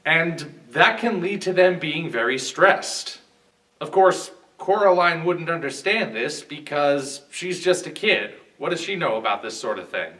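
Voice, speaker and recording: A man delivers scripted review commentary as a guest critic. His voice is fairly high and swings in very lively intonation, and it sounds set back from the microphone. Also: measured pace. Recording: distant, off-mic voice.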